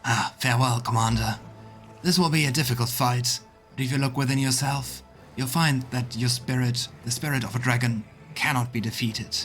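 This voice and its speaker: A man's voice: medium pitch, steady tone, fairly bright tone, lightly acted. Man voicing a solemn, wise gold dragon over music. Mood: grave, earnest. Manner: formal, measured storybook speech. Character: solemn, wise male gold dragon in humanoid healer guise. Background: game music.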